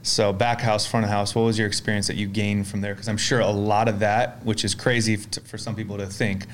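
A man's voice: fairly low pitch, little pitch movement, fairly quick, slight background noise. A man hosting a podcast, asking friendly, rambling questions with an upbeat, casual manner. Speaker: podcast host. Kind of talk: casual interview conversation.

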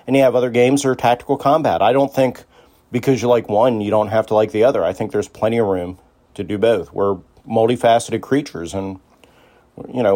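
A man's voice: medium pitch, a little mellow. A man leaving a casual, friendly voice message as a listener calling in to a podcast. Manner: informal, friendly, supportive.

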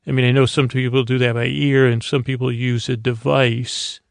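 Man, creaky fry, mid-pitched, dry recording, rambling bedtime talk, hesitant, repeats himself. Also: flat delivery, moderate pace, no echo. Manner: hesitant, meandering, repeats words and trails off. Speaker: podcast host. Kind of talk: rambling bedtime monologue to help listeners sleep.

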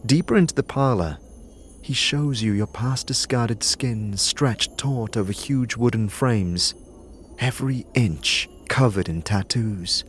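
A man's voice: mid-range voice, unhurried. A man reading scripted, dramatic storytelling narration as the narrator of an audio drama. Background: music.

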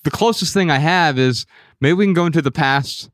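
Measured American man hosting, dry close sound. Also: on the high side, fairly bright tone, dry recording. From Dayton, Ohio, United States. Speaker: podcast host. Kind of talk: conversational podcast discussion. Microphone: close studio podcast mic.

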